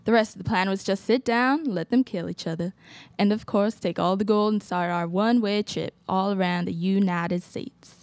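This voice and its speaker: A woman's voice: medium pitch, moderate pace, a little mellow, no room sound, close to an everyday voice. A woman acting a scheming, gold-hungry Old West wife in a student radio play. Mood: smug scheming. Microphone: stand microphone.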